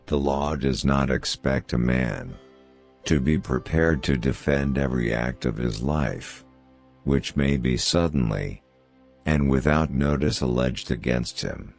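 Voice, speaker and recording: Really deep gravelly guy narrating quotes, formal and measured, bit of music behind him. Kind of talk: scripted narration of famous quotations. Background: background music.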